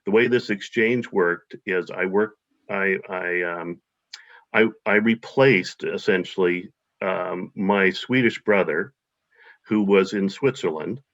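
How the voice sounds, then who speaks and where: Low voiced guy in an interview, lots of ums and restarts, dull lo-fi sound. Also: takes it slowly, a bit bright. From United States. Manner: hesitant, many fillers and restarts. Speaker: guest. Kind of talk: casual interview. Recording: dull lo-fi audio.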